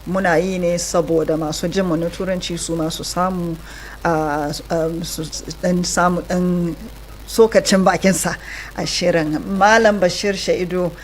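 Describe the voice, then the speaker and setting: A woman's voice: lowish voice, steady tone, moderate pace, a little hiss or hum. A woman with a Nigerian accent hosting a bilingual radio interview programme. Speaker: radio host.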